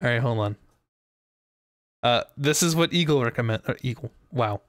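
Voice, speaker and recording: A man's voice: medium pitch, moderate pace, fairly bright tone, no echo, clean studio sound. A man streaming a courtroom game, reading its lines aloud and joking between them with frequent laughter. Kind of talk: let's play commentary.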